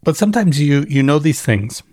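A man's voice: a little high, very animated, moderate pace, darkish tone, no echo. Man talking casually in a dry, close recording. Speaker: podcast host and newsletter writer. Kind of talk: casual reflective solo monologue, off the cuff.

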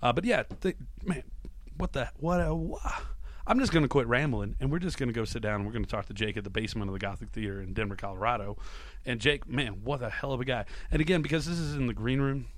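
A man's voice: mid-range voice, very fast, no room sound. A man hosting a podcast, rambling casually through an introduction in an informal, self-deprecating way. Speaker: podcast host.

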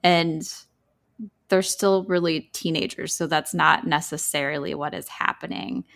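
A woman's voice: low voice, steady tone, a little slow, vocal fry, a bit bright. A woman co-hosting a podcast in a casual, chatty style, colloquial and leaning on emphatic words. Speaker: podcast co-host.

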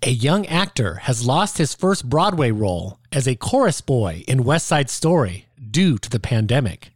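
A man's voice: mid-range voice, big pitch swings, moderate pace, slightly rough, no room sound, clean studio sound. A man giving a scripted narration that introduces the play.

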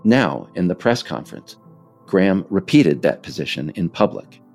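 An American man reading a scripted narration as its author, in a measured way with emphasis on key phrases. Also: low-pitched, sing-song, darkish tone, faint music behind. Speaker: author narrating own work.

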